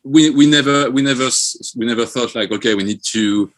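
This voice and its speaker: A man's voice: medium pitch, brisk. A man from France with a French accent, a band member talking casually as an interview guest.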